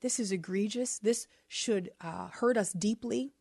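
A woman's voice: mid-range voice, measured pace, vocal fry, mellow, breathy edge, no echo, clean studio sound. A woman from New Orleans with a local accent, co-hosting a casual commentary chat and piling up emphatic rhetorical questions. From New Orleans, USA. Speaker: podcast co-host.

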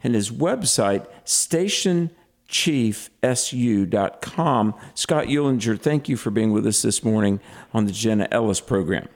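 A man's voice: fairly low pitch, lively intonation, unhurried, a little mellow. A man filling in as host of a talk radio show, interviewing a guest earnestly, with frequent fillers.

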